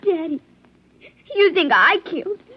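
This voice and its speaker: A woman's voice: very high voice, sing-song, moderate pace. An actress playing a plaintive, tearful young girl in a 1950s radio drama. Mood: tearful, accusing. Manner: plaintive, childlike. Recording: muffled old radio recording, music behind.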